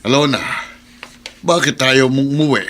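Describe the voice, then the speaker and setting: A male actor as an unforgiving old grandfather in a radio drama. His medium-pitched voice is tense and forceful, stern and admonishing with disappointment. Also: normal speed, lightly acted. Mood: stern questioning. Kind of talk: Tagalog radio drama acting.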